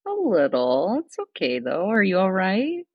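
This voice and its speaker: A woman's voice: medium pitch, huge pitch swings, unhurried, no room sound, dull audio. A woman co-hosting a casual chat podcast, laid-back and drawling, asking her co-host questions.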